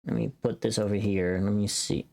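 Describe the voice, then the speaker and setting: A woman's voice: extremely low pitch, steady tone, fairly quick, creaky, warm tone. A woman streamer chatting casually and thinking aloud while she works on voice recordings. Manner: thinking aloud, self-directed muttering. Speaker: streamer recording voice pack lines. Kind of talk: casual livestream chat while working.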